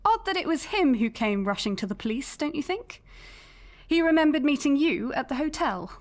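A brisk woman detective sergeant in an audio drama. Her voice sits a little high. She is measured, firm and matter-of-fact, like someone setting out a case. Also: sing-song. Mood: probing, firm. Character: brisk, businesslike female police detective sergeant.

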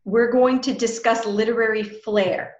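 A woman's voice: fairly high pitch, fairly even intonation, unhurried, muffled recording. A middle-aged woman hosting a casual video interview in a chatty, informal way. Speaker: interview host. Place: small room at home, indoors. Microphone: computer mic on a video call. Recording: roomy, echoey home room.

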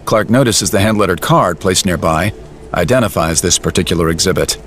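A man acting as the narrator of an audio drama, telling it in a measured, descriptive, literary way. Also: deep voice, a bit bright, faint music behind. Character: omniscient male storyteller narrating in present tense.

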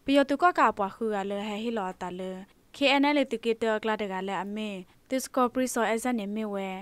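A woman's voice: mid-range voice, no room sound. A woman presenting the news, reading from a script as a radio newsreader.

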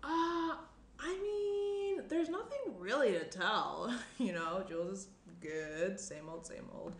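A woman's voice: mid-range voice, takes it slowly. A young woman playing an anxious, guilty woman who hesitates and trails off in a screenplay table read. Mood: evasive, guilty. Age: young adult. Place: small room at a table. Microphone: shared tabletop microphone. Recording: roomy indoor sound, clear background noise.

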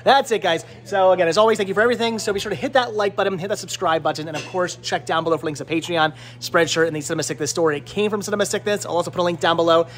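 A man's voice: high-pitched, very fast, hardly any pauses, fairly bright tone, slight background noise. A man in his thirties hosting a casual vlog, chatting to the camera and often repeating his words as he stammers along. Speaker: vlog host. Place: small cluttered movie and game store. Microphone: handheld camera at arm's length.

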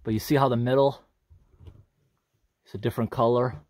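Homem comentando enquanto anda na floresta, voz média, câmera na mão, jeito relaxado. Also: male voice, mid-range voice, normal speed, no room sound, clean studio sound. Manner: relaxed, observant, thinking aloud. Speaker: hiker filming a walking tour. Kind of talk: casual walk-and-talk narration. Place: outdoors on a forest trail. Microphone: handheld camera.

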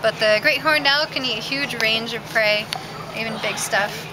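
A woman's voice: fairly high pitch, moderate pace. A woman volunteer at a zoo explaining owls to visitors in a patient, casual way with small fillers. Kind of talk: casual outdoor animal talk to visitors. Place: outdoors at a zoo. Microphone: far camera. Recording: loud outdoor noise, camera from a distance.

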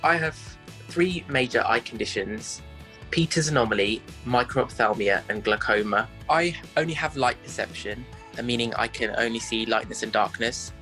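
A man in his twenties from England, speaking carefully with pauses into a phone held close. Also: medium pitch, bright, forceful tone, soft background music, poor audio. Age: 20s. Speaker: interviewee. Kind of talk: self-recorded talk.

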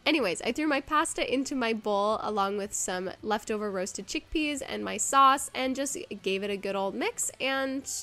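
A woman's voice: fairly high pitch, expressive, moderate pace, few pauses, bright, forceful tone, faint music behind. A woman in her twenties, nasal from a cold, chatting casually as a vlogger at home. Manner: chatty, bubbly, says "like" a lot. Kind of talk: casual voice-over narration. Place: home kitchen, indoors.

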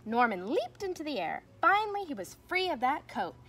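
A woman's voice: high voice, moderate pace. Young woman reading a storybook aloud, outdoor noise. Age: young adult. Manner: expressive storytelling delivery with emphatic swells. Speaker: teacher reading aloud to pupils. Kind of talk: children's storybook read-aloud. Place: outdoors in a fenced backyard. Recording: noticeable outdoor ambient noise.

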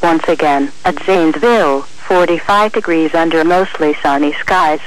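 High-pitched synthetic male weather announcer. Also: normal speed, a bit bright, poor audio. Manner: formal, clipped phrasing. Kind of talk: automated marine forecast and observations read-out. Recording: weather radio broadcast.

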